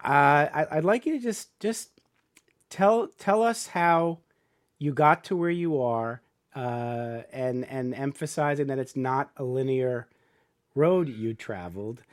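A man hosting an interview podcast, halting and repeating words as he frames his questions. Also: mid-range voice. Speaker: podcast host. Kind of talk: interview with a guest.

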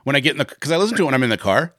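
A man's voice: medium pitch, sing-song, racing, a bit bright, no echo, clean studio sound. A man hosting a podcast in a casual, informal chat, with a blunt and sometimes salty way of talking. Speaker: podcast host.

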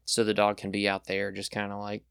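A man's voice: on the low side, completely flat delivery, brisk, creaky, a bit bright, clean tone, dry recording, clean studio sound. A young man chatting as a podcast guest, rambling and enthusiastic with lots of fillers. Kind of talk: casual chat.

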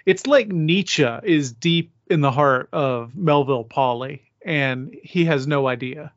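A man's voice: fairly high pitch, unhurried, no room sound, lo-fi sound. A man leading a conversational literary discussion, thinking aloud with emphatic turns of phrase. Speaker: podcast panelist leading the discussion.

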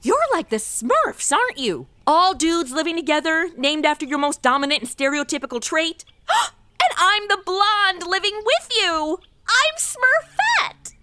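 A woman's voice: extremely high pitch, big pitch swings, a little slow, bright, forceful tone, full character voice. A woman playing a blunt, sarcastic young modern princess in a comic radio play, with a brash delivery. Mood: teasing. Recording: noisy background.

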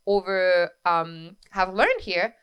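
Woman, French accent, thirties, podcast guest, lively storyteller, smooth voice. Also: lowish voice, muffled recording. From France. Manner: lively storyteller, quick asides. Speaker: guest, wedding coordinator. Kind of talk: casual interview storytelling.

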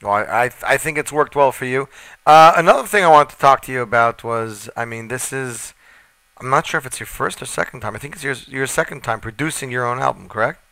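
Bright, smooth male radio interviewer. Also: medium pitch, fairly quick, no room sound. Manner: chatty, enthusiastic, stacks up questions.

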